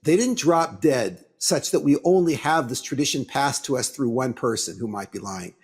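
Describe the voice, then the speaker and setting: Male rabbi, husky rough-edged voice, mid pitch, religious lecture, halting deliberate phrases, emphatic pauses. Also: expressive.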